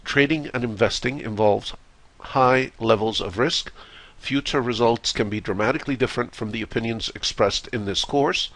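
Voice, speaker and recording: A man teaching a trading course as a voice-over to slides, hoarse and congested from a cold, speaking in a measured way with frequent "uh" fillers. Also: medium pitch, a bit bright, no echo, rough recording. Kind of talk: recorded slideshow lecture.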